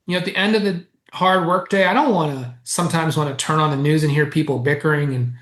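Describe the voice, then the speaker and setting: A man, a co-author guest in an interview, who explains at length in an animated way and chuckles often. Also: on the high side, fast. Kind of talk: casual interview chat. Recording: roomy echo.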